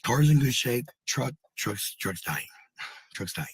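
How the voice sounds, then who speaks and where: An American man with a husky, gravelly voice rambling casually to livestream viewers, blunt, sweary and given to repeating phrases. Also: mid-range voice, expressive, a little slow, dry recording. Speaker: live streamer.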